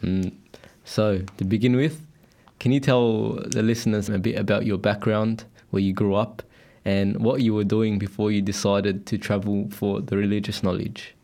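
A man hosting a studio interview, asking calm, measured questions. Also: lowish voice, gravelly. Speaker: podcast host.

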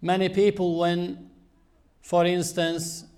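A man with a high voice delivers an earnest religious sermon. He speaks slowly and deliberately, pausing often between phrases as he teaches. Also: little pitch movement, a little mellow. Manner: measured, earnest teaching with frequent pauses. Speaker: Islamic preacher.

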